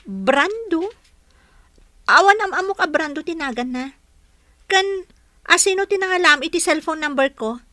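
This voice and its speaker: Touchy, expressive young woman, Ilocano accent, high bright voice, radio drama, female actor. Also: moderate pace, long pauses, no echo, full character voice. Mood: suspicious, annoyed. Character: young woman, sassy and easily offended. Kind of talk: radio comedy-drama acting.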